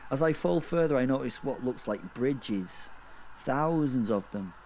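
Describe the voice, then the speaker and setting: A man's voice: mid-range voice, expressive, a little mellow, slight background noise. Morbid, rambling werewolf antihero played by a man. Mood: fascinated awe. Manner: rambling, conversational, sweary, halting and self-correcting. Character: gleefully morbid male werewolf killer narrating his fall through hell. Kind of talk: first-person horror audio drama monologue.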